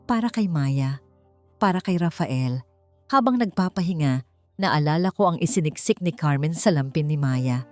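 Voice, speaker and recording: A woman narrating a dramatic first-person audiobook story in an emotive, deliberate manner. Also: low-pitched, expressive, soft background music.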